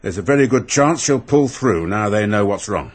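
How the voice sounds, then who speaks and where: An actor playing a sober, sceptical, matter-of-fact policeman in a radio drama, with a husky voice. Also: fairly low pitch, animated, normal speed.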